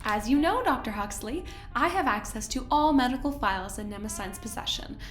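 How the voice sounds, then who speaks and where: An actress playing a smug corporate research director in an audio drama, falsely sweet, condescending and theatrically polite. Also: female voice, on the high side, animated, fairly quick, roomy sound, soft background music, lightly acted.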